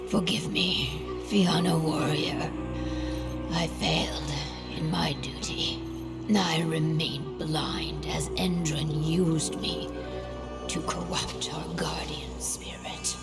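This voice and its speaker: A proud female leader of a werewolf tribe in a dramatic game cutscene. Her voice is low and creaky, swelling and dropping into breathy near-whispers. She speaks gravely and with solemn weight, over music. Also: steady tone. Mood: solemn, remorseful. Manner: slow, grave, breathy half-whispered phrases. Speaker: video game voice actor.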